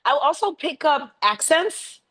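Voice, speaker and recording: A woman casually telling stories in conversation, animated and often giggling as she talks. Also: sits high, a little slow. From raised by a Haitian family. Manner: animated, giggly, laughs often while talking. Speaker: interview guest, bike traveler.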